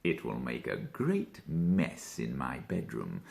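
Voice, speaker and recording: A man voicing a vindictive, fussy fox gentleman from a storybook, muttering slowly with grumbling menace. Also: very deep voice, big pitch swings, creaky, voice pushed down, heavily acted.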